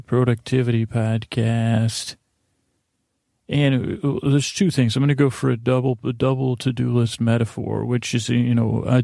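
A man hosting a bedtime podcast in a meandering, halting monologue, often stammering and interrupting himself in a self-deprecating way. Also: mid-range voice, vocal fry, dark tone, no echo, clean studio sound. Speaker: podcast host.

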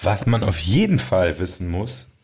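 A man's voice: low-pitched, big pitch swings, moderate pace, a little mellow, a little hiss or hum. A man co-hosting a podcast, chatting casually in a playful, teasing way with mock announcements. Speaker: podcast co-host. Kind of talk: casual joking podcast chat.

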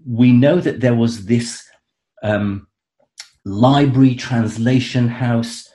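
A man's voice: medium pitch, takes it slowly, mellow. A man who is an author, appearing as a guest expert and explaining history in a conversational way, full of restarts and fillers. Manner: hesitant, many restarts and fillers, enthusiastic.

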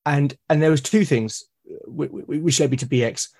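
A man's voice: on the high side, fairly quick, gravelly, a little mellow, husky. A British man being interviewed as a guest, who talks casually and hesitantly, often repeating words as he starts a sentence. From United Kingdom. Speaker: guest, artist and podcaster. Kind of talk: casual conversation.

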